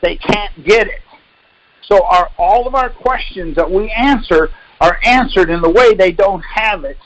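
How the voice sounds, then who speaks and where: Man, high voice, dry close sound. Call leader who lectures, challenges and corrects. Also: a little slow, fairly bright tone, no echo, rough recording. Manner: corrective, insistent, questioning. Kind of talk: explaining and debating on a conference call.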